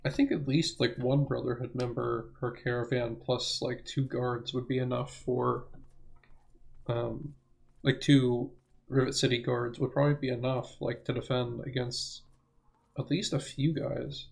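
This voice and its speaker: A young man giving rambling, self-correcting let's play commentary, his voice hoarse as if he is losing it. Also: medium pitch, little pitch movement, measured pace, low-quality recording. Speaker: gaming channel host.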